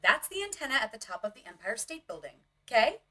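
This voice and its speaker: A woman's voice: fairly high pitch, big pitch swings, quick pace, sharp tone, distant mic, heavily acted. A woman playing a brusque, jaded young city roommate in a motion comic, curt and bossy.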